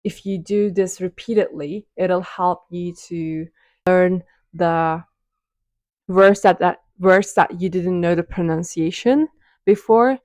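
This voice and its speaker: A woman's voice: lowish voice, takes it slowly, darkish tone, no room sound. A young woman with a Turkish accent, chatting to camera and explaining things step by step, often restarting her phrases. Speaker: vlogger.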